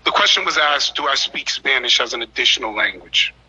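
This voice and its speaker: Husky, bright-toned mayor addressing a council. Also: male voice, mid-range voice, lively intonation. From United States. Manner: measured, formal, emphatic. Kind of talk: public meeting address. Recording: dull lo-fi meeting audio.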